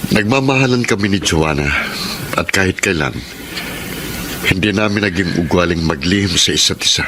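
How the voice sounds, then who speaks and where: Radio drama husband male actor, Filipino: low voice, devoted, earnest, measured, noisy background. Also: natural voice. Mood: firm, hurt. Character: devoted, forgiving husband, calm and steadfast.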